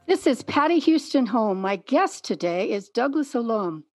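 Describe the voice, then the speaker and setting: A middle-aged woman hosting an interview, speaking in a clear, measured way. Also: fairly high pitch, very animated, faint music behind. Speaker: podcast host and interviewer.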